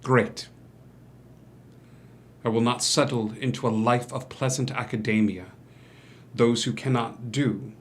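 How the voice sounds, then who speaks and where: A man's voice: mid-range voice, fairly even intonation, a little slow, long pauses, slight background noise, lightly acted. An actor playing a smug, insolent young male student, clipped and challenging, in a theatrical table read. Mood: smug, defiant.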